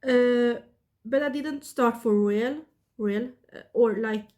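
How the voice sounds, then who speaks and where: A woman in her thirties with a Swedish accent, sick and talking casually to her camera in a halting way, with many pauses and fillers. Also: on the high side, dark tone. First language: not English. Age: 30s. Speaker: witchcraft vlogger.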